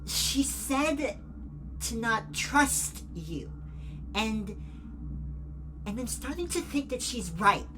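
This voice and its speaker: A woman's voice: fairly high pitch, animated, drawn-out words, long pauses. A woman acting in an audio drama as a guilt-ridden, frightened young student who is anxious and defensive. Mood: panicked, distraught. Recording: noticeable background noise and ambience.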